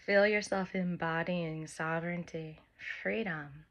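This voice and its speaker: A woman guides a meditation in a lowish voice that stays very level. She speaks very slowly, in gentle, short phrases with long pauses, giving soft instructions. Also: fairly even intonation, dull audio. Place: by the open air with wind and birds.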